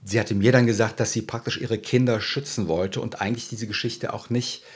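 A man answering interview questions in a thoughtful way, often pausing and searching for words. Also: lowish voice, fairly even intonation, breathy edge. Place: Berlin.

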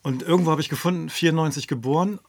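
Gravelly-voiced male podcast host. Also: on the high side, very fast. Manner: chatty, curious, asks leading questions. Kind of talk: conversational interview.

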